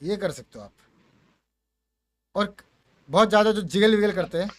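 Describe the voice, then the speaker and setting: High-pitched Indian man, casual gaming commentary. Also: normal speed. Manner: informal, slangy, mixes Hindi and English. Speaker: gaming content creator presenting his voice pack.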